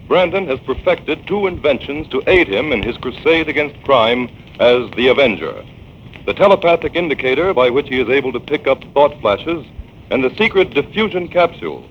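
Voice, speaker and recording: A man's voice: medium pitch, very animated, a little fast. A man announcing a 1940s radio drama in a dramatic, emphatic style. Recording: dull old radio transcription with hiss.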